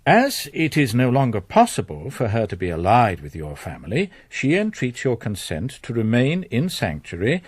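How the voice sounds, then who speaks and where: An actor playing a sanctimonious, slyly calculating priest in a radio drama, measured and pompous, with confiding asides. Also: male voice, medium pitch, huge pitch swings, slightly rough, dry recording. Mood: formal, pious.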